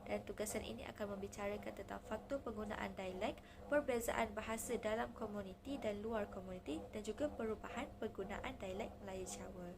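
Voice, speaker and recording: A woman's voice: medium pitch, fairly quick, noisy background. A young Malaysian-accented woman giving a formal scripted student presentation, setting out points in order. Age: young adult.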